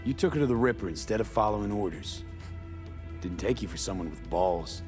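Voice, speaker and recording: Guy voicing a tough blunt merc in a video game, lowish voice, terse and pushy, music in the background. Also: lively intonation, a little fast, a little mellow, lightly acted. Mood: skeptical, dry. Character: tough, blunt male mercenary on a missing-person hunt. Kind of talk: video game dialogue acting.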